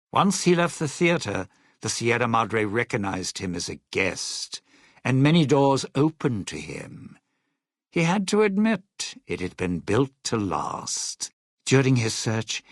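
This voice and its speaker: An actor playing a smug, suspicious old lounge singer and thief in a video game, with a raspy, gravelly voice and a sardonic showman's delivery. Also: medium pitch, no echo, clean studio sound, heavily acted. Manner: sardonic, slangy showman's patter. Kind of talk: in-game character dialogue and epilogue narration.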